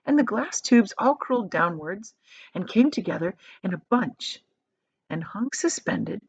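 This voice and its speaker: Female reader for children's book narration: expressive, medium pitch, slightly lo-fi sound. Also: a little slow, husky. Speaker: storyteller reading aloud.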